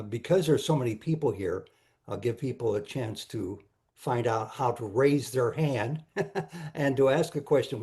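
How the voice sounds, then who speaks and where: A man with a mellow, relaxed voice acts as host of an online meeting. He speaks informally and politely, in the way of someone moderating questions from a group. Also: mid-range voice.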